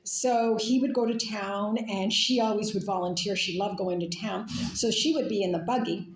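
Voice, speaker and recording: A woman's voice: mid-range voice, steady tone, normal speed, reverberant, low-quality recording. A woman from Canada talking in a casual, rambling monologue, full of fillers and restarts. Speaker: speaker.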